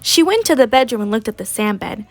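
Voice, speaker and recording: A woman's voice: on the high side, quick pace, soft background music. A young woman in her late teens reading a children's picture book aloud expressively. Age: teenager, high school senior. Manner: animated, acts out characters. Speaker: read-aloud storyteller.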